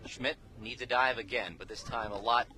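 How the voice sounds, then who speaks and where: Bright-voiced man calling baseball on radio. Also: medium pitch, steady tone, moderate pace. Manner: clipped descriptive phrases. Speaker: baseball play-by-play announcer. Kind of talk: live radio play-by-play commentary. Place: outdoor ballpark, beside the field.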